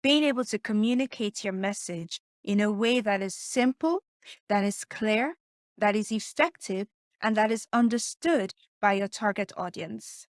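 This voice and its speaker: A woman with a soft voice, teaching communication skills as a coach in an emphatic way, often putting questions to the listener. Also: medium pitch, a little slow, dry recording, clean studio sound. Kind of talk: teaching presentation tips to camera.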